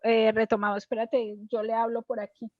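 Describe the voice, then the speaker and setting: High-voiced woman, dull lo-fi sound. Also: little pitch movement, fairly quick. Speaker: interviewer, host. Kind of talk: casual chat.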